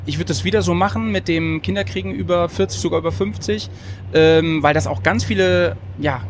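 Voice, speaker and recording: A man's voice: on the high side, a little hiss or hum. A man hosting a podcast, talking in a bubbly, rambling way with frequent laughs, recorded on a train. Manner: bubbly, giggly, rambling with fillers. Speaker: podcast host. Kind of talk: casual chat.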